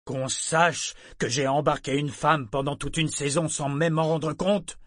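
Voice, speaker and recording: A man's voice: fairly high pitch, fairly even intonation, measured pace, fairly bright tone, dry recording, clean studio sound, pitched above the performer's own voice. A man voicing a furious, contemptuous ship's captain in an audiobook, harsh, accusatory and snarling. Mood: scornful rage. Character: hard, furious, contemptuous male ship captain. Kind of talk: audiobook character acting.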